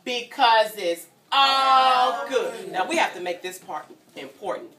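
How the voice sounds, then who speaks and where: A woman reading a story aloud to young children in an animated, teacherly way, prompting them to join in and breaking into sung refrains. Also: a little high, slow pace, a crowd in the background. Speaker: guest story-time reader for young children. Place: indoor room at a childcare centre. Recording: echoey room, distant mic, children around.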